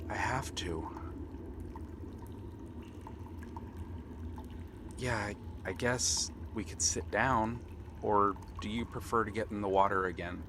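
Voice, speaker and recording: A man's voice: lowish voice, background noise, exaggerated voice. A man playing a nervous, bookish young pirate prince in an ASMR roleplay, hesitant and earnest. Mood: downcast, reluctant.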